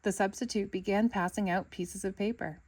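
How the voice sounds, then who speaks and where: A woman narrating a children's picture book aloud with expressive, dramatic storytelling. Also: medium pitch, moderate pace. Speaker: storybook reader.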